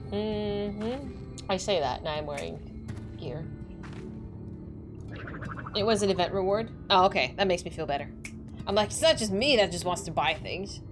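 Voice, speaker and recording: A young woman streaming a game, chatting excitedly with a slightly squeaky voice, over faint game music. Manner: excitable, chatty, laughing often. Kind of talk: casual chat while playing a game.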